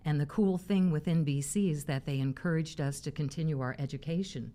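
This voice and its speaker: A woman in her sixties from Missouri speaks in a low voice. She is a talk show guest who chats freely and tells stories about her own life. She laughs often as she talks. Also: brisk, over a noisy crowd. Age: 60s. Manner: chatty storyteller, laughs often. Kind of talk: casual interview chat.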